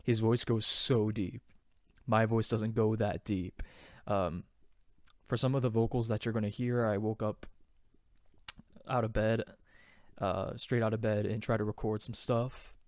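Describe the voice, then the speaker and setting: A man's voice: on the low side, fairly even intonation, measured pace, pauses often, a little mellow, no room sound, clean studio sound. A man teaching a song's vocal harmonies in a loose, chatty way, with many fillers and restarts. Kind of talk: casual harmony tutorial.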